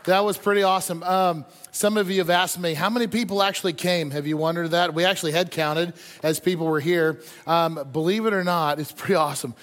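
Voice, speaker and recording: Male pastor, high-pitched voice, chatty informal sermon delivery with repeated phrases, long hall reverb. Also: steady tone, brisk, few pauses, a bit bright. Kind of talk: conversational sermon to a congregation. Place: large indoor church hall.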